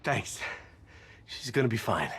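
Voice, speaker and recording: Shaken rescued hostage, mid-pitched. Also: male voice, expressive, measured pace, a bit bright, a little hiss or hum. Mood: shaken, relieved. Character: shaken, grateful male rescued hostage comforting another. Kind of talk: video game voice acting.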